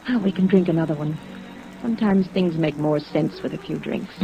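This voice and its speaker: An actress playing a lonely widow in a 1950s radio drama, confiding in a hesitant, melancholy, wistful manner. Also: female voice, low-pitched, normal speed, creaky. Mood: wistful, friendly. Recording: muffled vintage radio recording, steady rain noise.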